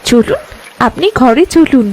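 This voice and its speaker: A woman voicing a gentle young fairy princess in a cartoon tale, speaking in a formal, tender and earnest way. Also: high voice, big pitch swings, unhurried, a little hiss or hum, exaggerated voice, poor audio. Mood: gentle, reassuring. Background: music.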